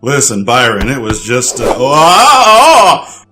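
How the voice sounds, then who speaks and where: A man voicing an excitable, cocky male prankster in a story, exclaiming and stammering. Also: medium pitch, slow, faint music behind, pitched above the performer's own voice, exaggerated voice. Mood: panicked.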